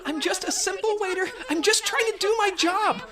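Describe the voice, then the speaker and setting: A woman playing an excitable undercover agent with a gushing, theatrical manner in a comedy audio drama. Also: very high-pitched, moderate pace. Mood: melodramatic pleading.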